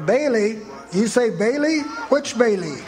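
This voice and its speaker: An actor playing an angry, belligerent husband in a live radio play. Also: male voice, very high-pitched, animated, a little slow, a little mellow. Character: angry male tough husband. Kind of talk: live radio drama acting.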